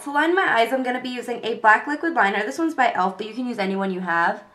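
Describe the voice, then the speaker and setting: A young woman with a cold and a congested voice, calmly talking through a makeup tutorial step by step. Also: fairly high pitch, fast talker. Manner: calm step-by-step explaining with fillers. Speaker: beauty vlogger.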